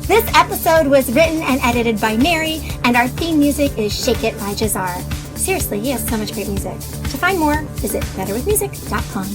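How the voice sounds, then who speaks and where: A woman's voice: high-pitched, lively intonation, a little fast. A woman announcer reading scripted podcast credits. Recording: music loud behind voice.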